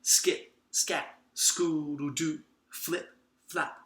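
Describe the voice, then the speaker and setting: A man's voice: fairly high pitch, steady tone, extremely slow, fairly bright tone. A man playfully and emphatically teaching a clapping activity to children, drawing out the words he demonstrates. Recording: echoey room.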